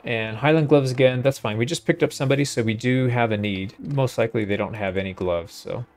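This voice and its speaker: A man's voice: medium pitch, fairly quick, a little mellow. A man giving casual gameplay commentary, thinking aloud in a laid-back way as he hosts a playthrough. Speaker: host of a gaming playthrough.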